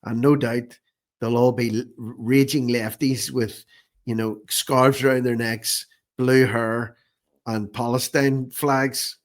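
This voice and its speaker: A man's voice: medium pitch, level tone, slow pace. An Irish man hosting informal political commentary in a sarcastic, scornful manner, often repeating phrases for emphasis. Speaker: online commentator, host.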